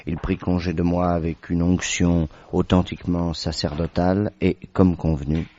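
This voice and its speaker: Un acteur en narrateur à la voix très grave. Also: male voice, low-pitched, little pitch movement, mellow, lightly acted. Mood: admiring, wry. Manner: literary, measured. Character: admiring male narrator, the detective's companion. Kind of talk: scripted dramatic narration. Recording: dull, dry radio recording.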